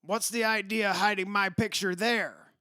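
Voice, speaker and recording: Man acting a brash, bright-voiced wealthy father. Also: sits high, steady tone, measured pace, clean tone, no room sound, clean studio sound. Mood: curious, taken aback. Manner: blunt, impatient, demanding. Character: brash wealthy father who bought an old mansion. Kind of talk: radio play acting.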